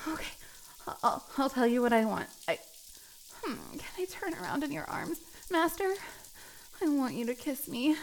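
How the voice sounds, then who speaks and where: A young woman voicing a needy, submissive catgirl in an adult audio roleplay, with breathy, sighing, stammering pleas. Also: high voice, sing-song, moderate pace, pauses often, a little hiss or hum. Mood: soft breathless.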